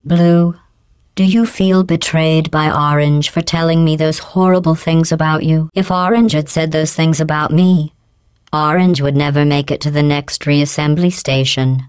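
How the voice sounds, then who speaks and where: A cold, sarcastic female AI in a video game, calmly handing out insults in a deadpan, condescending tone. Her voice sits low for a woman and sounds smooth and resonant, with a synthetic computer sheen. The recording is dry and close, with no room sound at all. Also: measured pace, dry recording. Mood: deadpan scornful. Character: cold sarcastic female AI running test chambers.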